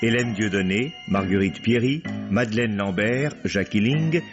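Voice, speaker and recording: A man announcing radio drama credits in a formal, measured way, in the style of 1950s French radio. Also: lowish voice. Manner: formal, measured listing of names. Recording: dull vintage radio, loud music behind. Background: loud theme music with guitar.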